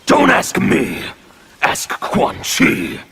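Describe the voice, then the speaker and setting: A menacing male anarchist warrior whose speech drips with contempt, his gravelly voice swinging widely in pitch. He speaks slowly and deliberately. Loud game music plays beneath the video game dialogue. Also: huge pitch swings, full character voice. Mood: scornful. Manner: contemptuous, threatening delivery.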